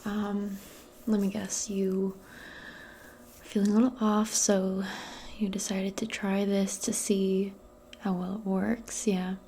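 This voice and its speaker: A woman playing a casual, gently awkward modern witch in a soft-spoken ASMR roleplay, speaking hesitantly in a breathy, often whispered voice. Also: mid-range voice, little pitch movement, takes it slowly, slight background noise. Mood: friendly, hesitant. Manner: hesitant, murmuring, conversational. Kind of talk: ASMR personal-attention roleplay.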